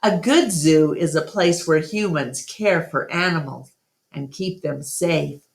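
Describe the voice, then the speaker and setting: A woman voicing an earnest, gentle male gorilla who speaks hesitantly as a protector in a children's storybook. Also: low voice, takes it slowly, vocal fry, exaggerated voice. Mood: tender, hopeful. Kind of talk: children's storybook read-aloud.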